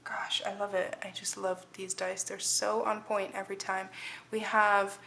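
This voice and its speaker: A woman talks her viewers through a tarot reading in a loose, rambling way, often starting a phrase over before she finishes it. Her voice sits at an ordinary middle pitch, with a faint hiss under the recording. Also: flat delivery, measured pace, creaky, sharp tone. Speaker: tarot reader. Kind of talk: casual tarot reading to camera.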